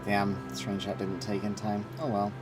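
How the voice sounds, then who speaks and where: A man's voice: fairly low pitch, completely flat delivery, moderate pace. A man streaming a game, commenting casually in an offhand way, half muttering to himself. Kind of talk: casual livestream commentary on gameplay. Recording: game music behind the voice.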